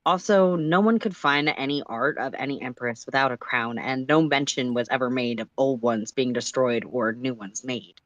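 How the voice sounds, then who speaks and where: Expressive story reader, dry close sound. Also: medium pitch, moderate pace, few pauses, no echo, dull audio. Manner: animated second-person storytelling, stumbles and self-corrects. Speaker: narrator reading a fan story on a livestream. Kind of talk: expressive story reading with casual asides.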